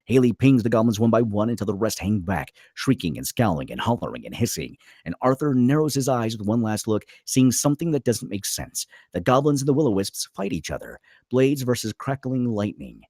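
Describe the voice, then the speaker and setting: A man reads stage directions as dramatic narration. His voice is a little low and husky, very animated in pitch, with clipped phrasing and a dry, close recording. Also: a little fast, hardly any pauses, no echo. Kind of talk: dramatic scripted narration at a table read.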